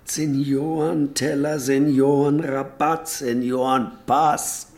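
A jovial old pal in his fifties visiting a hospital. He speaks slowly in a medium-pitched voice. His delivery is colloquial and joking, in natural radio-play style. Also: flat delivery, darkish tone, echoey room. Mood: wry, bantering. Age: 50s. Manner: jokey, colloquial, unhurried.

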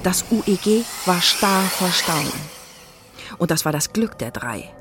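A woman narrating a children's radio play as a wry, suspenseful storyteller, expressive and with pointed pauses. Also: fairly low pitch, over music. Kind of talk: dramatic scripted storytelling for children.

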